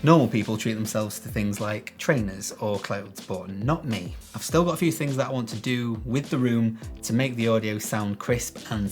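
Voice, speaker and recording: Man, deep bassy voice, casual step-by-step tutorial host, shotgun mic, soft music behind. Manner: chatty and self-deprecating, explaining step by step. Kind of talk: casual tutorial talk to camera. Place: small room with some echo. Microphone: shotgun microphone.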